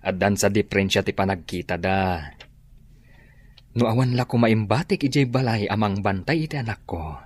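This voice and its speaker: A man's voice: lowish voice, moderate pace, lightly acted. A man playing a friendly, flirtatious boss and widowed father in a radio drama.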